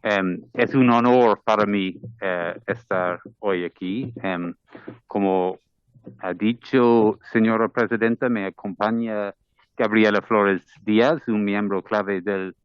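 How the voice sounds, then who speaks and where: A man's voice: on the low side, fairly even intonation, takes it slowly, clear, resonant tone, dry recording. A man from Ireland with an Irish accent, reading a formal address as an ambassador in careful second-language Spanish. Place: parliamentary committee room. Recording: dull, muffled committee audio.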